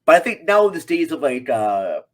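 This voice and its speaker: A man appearing as a guest film reviewer, chatting casually in a halting way as he thinks aloud. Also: on the high side, sing-song.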